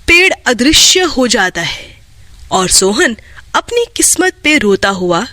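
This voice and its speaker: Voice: mid-range voice, very animated, takes it slowly, sharp tone, no echo. A storyteller reading scripted narration for a children's cartoon folk tale.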